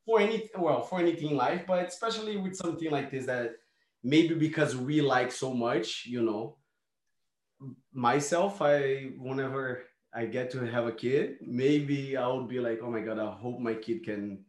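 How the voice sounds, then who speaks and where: A man's voice: mid-range voice, normal speed. Rambling male host in an echoey room. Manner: rambling, with many tag questions. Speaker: podcast host, martial artist. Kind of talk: casual interview.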